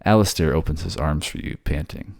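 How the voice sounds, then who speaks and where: A man's voice: extremely low pitch, fairly quick, creaky, darkish tone, no room sound, clean studio sound. A man narrating a scripted second-person fantasy story in an ASMR audio drama style. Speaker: narrator and voice actor.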